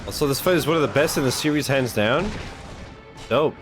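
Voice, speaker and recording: A man's voice: medium pitch, lively intonation, brisk. A man hosting a reaction stream, chatting casually in a slangy, sweary, rambling way. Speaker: reaction video host. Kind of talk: casual reaction chat with viewers. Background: music from the game.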